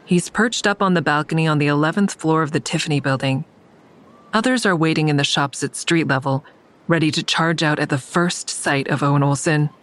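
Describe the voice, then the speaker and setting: A woman's voice: sits low, natural voice. Audio drama lead for an actress: woman, blunt, wry, tired but defiant first-person narrator. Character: weary, defiant woman narrating her own thriller.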